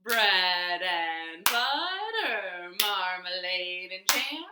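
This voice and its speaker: A woman hosting storytime for young children, speaking in an animated, clearly paced way as she shares rhymes and picture books from her living room. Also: lowish voice, drawn-out words, noisy background. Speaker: children's storytime host at a library. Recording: roomy, echoing home living room.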